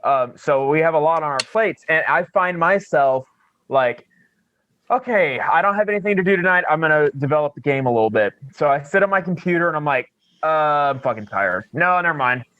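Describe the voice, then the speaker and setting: A man's voice: on the high side, expressive, a little fast, pushed and bright, no echo, muffled recording. A man chatting casually as a podcast co-host, swearing freely and poking fun at himself by acting out imagined quotes. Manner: sweary, self-deprecating, acts out imagined quotes.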